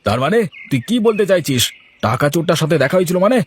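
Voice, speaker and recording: A man's voice: a little high, sing-song, quick pace, slightly rough. A man playing a boastful, quarrelsome village man in a cartoon, arguing in a blustering, defensive way. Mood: indignant. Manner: blustering, argumentative. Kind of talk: Bengali cartoon dialogue dub.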